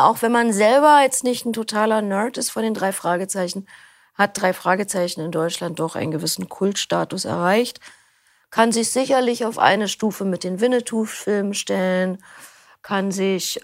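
Interview guest: middle-aged woman, gravelly, loose and chatty, dry studio mic. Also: mid-range voice, dry recording. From Berlin, Germany. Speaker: interview guest, audiobook reader. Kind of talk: casual chat. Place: recording studio.

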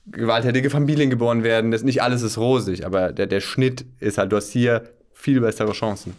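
A man's voice: medium pitch, fairly even intonation, a little fast, a little mellow. A German man hosting a podcast in casual chat, joking and rambling with many fillers and restarts. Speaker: podcast host.

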